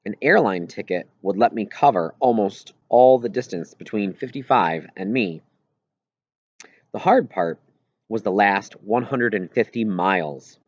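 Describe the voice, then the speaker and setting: Low-voiced man reading a story, roomy sound. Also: animated, unhurried. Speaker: audiobook reader. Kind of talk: scripted first-person story narration. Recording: roomy echo, slightly dull lo-fi sound.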